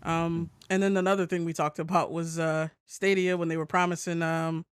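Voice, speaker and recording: A man's voice: fairly high pitch, flat delivery, normal speed, clear, resonant tone, dry recording, clean studio sound. A man co-hosting a casual gaming news chat, hesitant in his phrasing and freely swearing. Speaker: podcast co-host.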